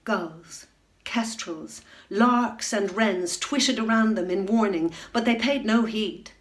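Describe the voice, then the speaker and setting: A middle-aged woman reading a children's bedtime story aloud at home, expressive, into a webcam. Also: mid-range voice, slow. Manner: expressive, rising to loud excitement in dramatic moments. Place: room at home.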